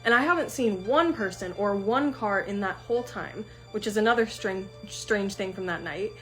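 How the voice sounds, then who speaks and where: A woman's voice: mid-range voice, moderate pace, fairly bright tone, faint music behind, poor audio. A woman hosting a storytime, reading a viewer's eerie first-person story aloud with mounting tension.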